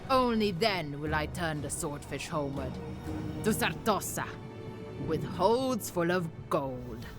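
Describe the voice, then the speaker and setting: A woman's voice: deep voice, expressive, slow pace. A woman playing a bold, ruthless pirate queen captain in a video game, with a commanding theatrical delivery. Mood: proud, calculating. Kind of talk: scripted dramatic game narration. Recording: loud music behind the voice. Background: music.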